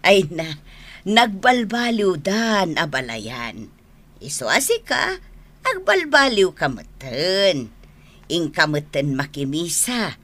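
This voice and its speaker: An actress playing an expressive village mother in a radio comedy-drama. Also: fairly low pitch, slow, full character voice. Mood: pleased. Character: expressive village mother, talking with her in-law.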